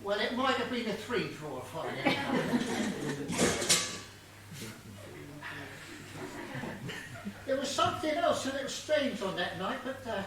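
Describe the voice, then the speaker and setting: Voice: medium pitch, very animated, brisk, natural voice. An actor playing a muddled, literal-minded gardener in a comic stage play, stammering and earnestly deferential as the character is questioned. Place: stage of a small theatre. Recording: distant echoey theatre recording, faint noise.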